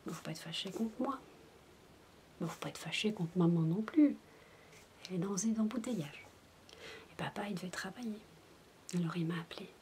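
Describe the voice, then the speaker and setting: A woman in a soft-spoken, whispered ASMR roleplay, coaxing like a babysitter talking to a sulking child. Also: mid-range voice, slight background noise.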